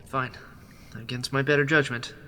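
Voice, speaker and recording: A man's voice: mid-range voice, fairly even intonation, moderate pace, lightly acted. A man playing a cautious male rebel who was once a doctor, in a sci-fi audio drama, wary and skeptical. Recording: loud noise behind the voice.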